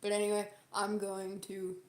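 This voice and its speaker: A woman with a hoarse, strained voice that breaks up at times, chatting casually and jokingly with livestream viewers. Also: medium pitch, monotone, moderate pace. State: hoarse, sore strained voice from singing. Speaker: livestream host, singer. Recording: roomy echo, loud music behind. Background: loud background music.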